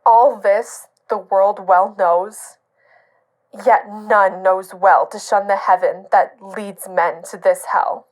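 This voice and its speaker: A woman performing a Shakespeare sonnet as an anguished, bitter monologue, with halting, weighted phrasing. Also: mid-range voice, steady tone, close to an everyday voice, rough recording. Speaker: student drama performer.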